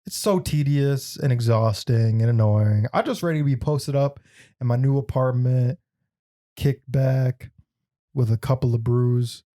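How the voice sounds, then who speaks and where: A man's voice: mid-range voice, unhurried, dark tone, dry recording, studio quality. A young man from Michigan co-hosting a podcast in casual chat, laid-back and jokey. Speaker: podcast co-host.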